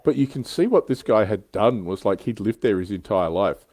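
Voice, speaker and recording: A man with a fairly deep, mellow voice hosts a casual livestream chat. He talks informally, like someone swapping anecdotes and jokes with friends. The sound is dry, with very little room in it. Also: animated, moderate pace, no echo. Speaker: show host.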